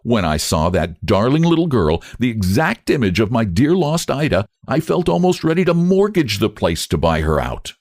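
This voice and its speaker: A man voicing a proud, grieving older woman who speaks in a cool, prim, measured way in a storybook reading. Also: medium pitch, huge pitch swings, gravelly, a little mellow, no room sound, studio quality, voice pushed up, exaggerated voice. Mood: cool defiant.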